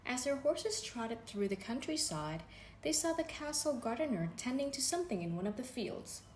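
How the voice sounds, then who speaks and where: A woman reading a children's bedtime story aloud, gently and expressively. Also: a little high, big pitch swings, normal speed. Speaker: storybook reader. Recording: echoey room with faint background noise.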